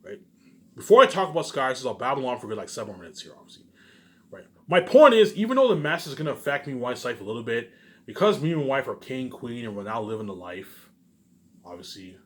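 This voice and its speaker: A man's voice: mid-range voice, very animated, fast talker, a bit bright. A man giving a rambling, unscripted vlog monologue, emphatic and repetitive, circling back over his phrases and swearing at times. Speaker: vlogger.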